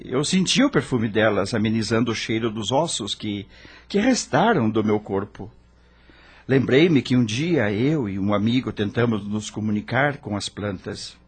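A man's voice: mid-range voice, breathy edge. An actor playing an anguished dead male rock star, narrating his own story in a theatrical, brooding radio drama style.

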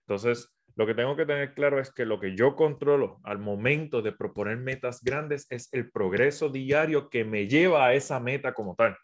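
A Puerto Rican man with an accent blended by years in South America, coaching his audience on a live stream. He talks to them directly and casually in a middle-pitched voice. His sentences are full of fillers and restarts. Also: normal speed, hardly any pauses. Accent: Puerto Rican Spanish with a South American mix. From Puerto Rico. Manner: conversational, many fillers and restarts, addresses viewers directly. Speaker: entrepreneur and business coach. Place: at home, phone on a tripod.

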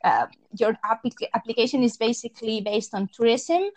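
A woman's voice: on the high side, moderate pace, a little mellow. A woman hosting an interview, asking chatty questions with slips and restarts. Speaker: interviewer, host.

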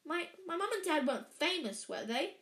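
A woman's voice: high-pitched, big pitch swings, normal speed, fairly bright tone, pitched above the performer's own voice, heavily acted. A woman voicing a polite, curious young boy in a storybook, hesitant and puzzled as he speaks. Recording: echoey, roomy sound.

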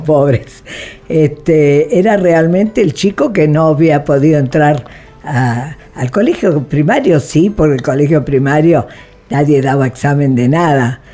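A woman of eighty-eight with an Argentine accent, reminiscing in a digressive, halting way as an interview guest. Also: low voice, mellow. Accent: Argentine Spanish. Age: 88 years old. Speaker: interview guest, president of a human rights association.

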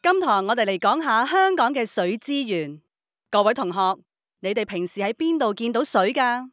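A woman's voice: fairly high pitch, lively intonation, normal speed, a bit bright, no room sound, clean studio sound. An actress playing an orderly female schoolteacher in a radio drama, addressing a class didactically in Hong Kong Cantonese. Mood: bright instruction. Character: female schoolteacher, orderly, upbeat, dutifully praising government.

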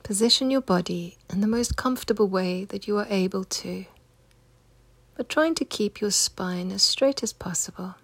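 A woman guides a meditation in a hushed, husky voice with a noticeable creak. She speaks gently and without hurry, soothing as she instructs. The sound is close and dry, with no room around it. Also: mid-range voice, moderate pace, no room sound. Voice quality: soft, breathy, husky, near-whispered.

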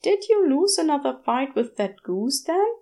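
A woman's voice: high voice, big pitch swings, normal speed, warm tone, voice pushed up. A woman voicing a fussy, bookish male angel in a story, courteous and genteel with an exasperated edge. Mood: gently exasperated.

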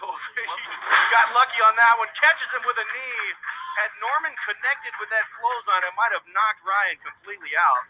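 Excitable male wrestling commentator, bright voice. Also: sits high, moderate pace, husky, muffled recording. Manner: excitable, rising to shouts on big moves.

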